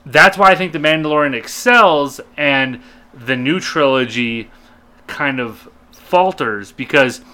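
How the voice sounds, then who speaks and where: A man's voice: fairly high pitch, unhurried, sharp tone. A man chatting casually as a podcast co-host, opinionated and emphatic in an exasperated way. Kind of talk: casual opinionated group chat.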